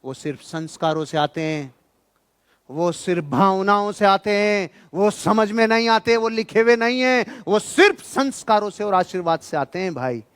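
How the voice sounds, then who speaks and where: A man's voice: sits high, moderate pace, a bit bright, clean tone. A man speaking as a motivational speaker at a seminar, emphatic and colloquial, asking rhetorical questions and answering them punchily. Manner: colloquial, jokey asides to listeners. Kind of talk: motivational seminar talk to a live audience.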